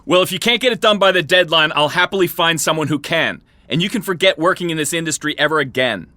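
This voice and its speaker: A man's voice: fairly high pitch, animated, quick pace, bright, forceful tone, no echo. A man playing a demanding, ruthless middle-aged boss and father in an audio drama, brusque, bossy and sarcastic. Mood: cutting, sarcastic.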